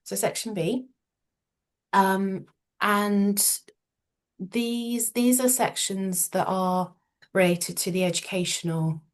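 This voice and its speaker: A woman with an English accent, a solicitor giving an informative talk, slightly nasal from a cold, measured, with many ums and restarts. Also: medium pitch.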